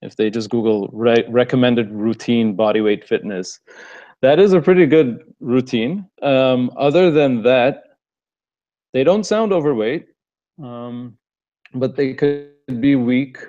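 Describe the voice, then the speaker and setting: A man's voice: medium pitch, a little slow. Laid-back man with an Armenian-American accent. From Los Angeles, USA. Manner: relaxed, rambling, laughs often. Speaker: fitness coach answering questions. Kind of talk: casual live Q&A. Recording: muffled lo-fi stream audio.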